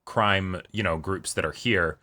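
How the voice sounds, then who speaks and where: Dude w a lowish bright punchy voice, casual fan chat cohost, super agreeable. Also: male voice, a little slow. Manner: agreeable, often chiming in to affirm. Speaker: co-host of a TV show reaction channel.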